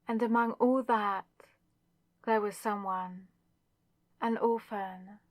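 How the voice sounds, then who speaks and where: A woman's voice: medium pitch, fairly even intonation, slow pace, no echo, clean studio sound, natural voice. A woman playing a haughty, villainous spirit in a possessed body, taunting and theatrical with mock sweetness, in a scripted ASMR roleplay. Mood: sly storytelling. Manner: taunting, theatrical, mockingly sweet, savouring words.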